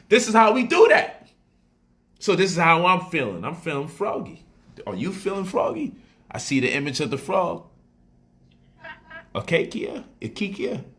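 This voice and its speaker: A man's voice: a little high, pushed and bright. A man casually explaining stock options on a livestream, repeating phrases for emphasis and switching into loose street slang.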